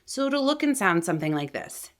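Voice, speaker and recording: A woman's voice: fairly low pitch, sing-song, a little fast. A woman speaking as a voice coach and host, explaining things to viewers in an articulate, conversational way. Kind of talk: instructional talk to viewers.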